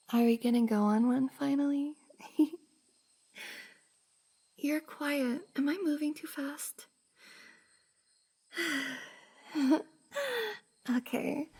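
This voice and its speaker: A high, soft-toned young woman, played by a woman for an intimate ASMR roleplay. She is a shy, easily flustered best friend who speaks slowly and hesitantly. Her breathy delivery is full of sighs and often sinks into a whisper. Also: exaggerated voice.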